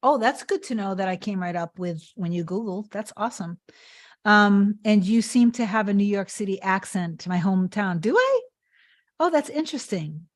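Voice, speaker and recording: A woman's voice: mid-range voice, expressive, normal speed. Earnest woman coaching on a live stream. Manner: repetitive, emphatic, earnest. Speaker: manifestation coach. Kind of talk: live-stream talk answering chat comments.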